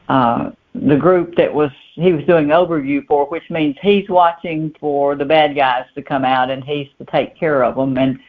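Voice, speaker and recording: A woman author chats casually as an interview guest, telling things in a halting way and repeating words. The sound is narrow and tinny, like a telephone. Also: deep voice. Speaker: novelist guest being interviewed. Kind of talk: casual, anecdotal interview chat. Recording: tinny phone-line sound.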